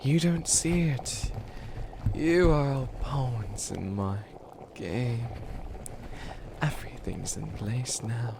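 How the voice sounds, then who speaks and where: A man puts on a menacing storybook villain, breathy and half-whispered with a gravelly edge. Each pompous threat is stretched out slowly and theatrically as an ASMR monologue, with clear watery noise in the background. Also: mid-range voice. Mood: grandiose, ominous. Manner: pompous, drawn-out delivery. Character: menacing theatrical evil villain rehearsing doom-laden threats. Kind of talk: slow dramatic villain monologue in ASMR roleplay.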